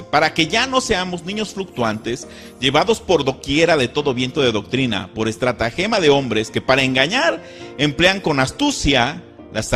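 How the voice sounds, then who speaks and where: Man doing a churchy voice-over, kinda high pitched, quoting bible verses, faint music behind. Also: fairly bright tone. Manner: earnest, indignant, quotes scripture. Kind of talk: scripted sermon-style commentary.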